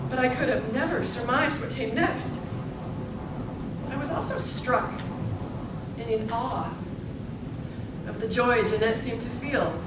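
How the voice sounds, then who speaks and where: A woman playing an earnest, determined young newspaper reporter of the suffrage era in a staged reading, speaking deliberately and emphatically. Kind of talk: live staged play reading. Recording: echoey hall from far off, noisy rumble.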